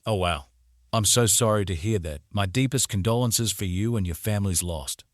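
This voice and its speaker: Very low voice, dry recording, polite veteran visitor, played by a man, measured. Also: dry recording, close to an everyday voice. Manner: courteous, measured. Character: polite middle-aged male military veteran visitor.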